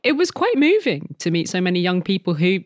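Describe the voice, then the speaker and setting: A woman's voice: on the low side, very animated, brisk, noisy background. A woman co-hosting a podcast, talking in a candid, frank and casual way. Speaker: podcast co-host.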